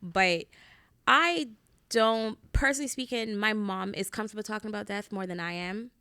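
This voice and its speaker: A woman's voice: medium pitch, moderate pace, bright, forceful tone, smooth, no room sound. A woman from Jamaica with a Jamaican accent, a guest on a podcast, speaking candidly and teasing her cousin. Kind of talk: casual podcast conversation.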